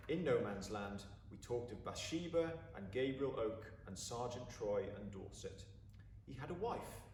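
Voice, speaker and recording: Expressive man reading, roomy sound. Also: medium pitch. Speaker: story reader. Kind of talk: expressive storybook narration. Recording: roomy, distant mic, faint background noise.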